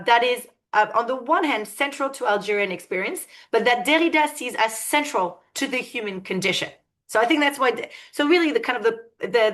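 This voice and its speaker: A woman giving an academic lecture, thoughtful and often pausing to search for her words. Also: on the high side, fairly bright tone.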